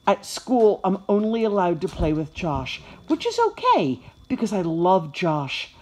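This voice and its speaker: A woman voicing an excitable, food-crazed young puppy who gushes childlike exclamations as he tells his own storybook tale. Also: lowish voice, a little slow, poor audio. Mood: happy, earnest. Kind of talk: first-person children's storybook narration.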